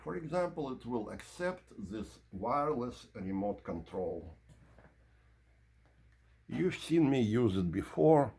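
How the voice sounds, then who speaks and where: A man presenting an informal product review and demonstration, explaining in measured, short broken phrases. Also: medium pitch, a little mellow. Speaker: presenter of audio equipment review.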